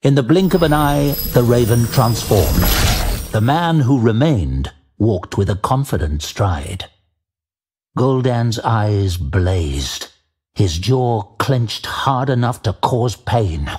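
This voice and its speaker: A man reading dramatic scripted fantasy narration as an audiobook narrator, measured and deliberate. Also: mid-range voice, expressive, darkish tone, husky.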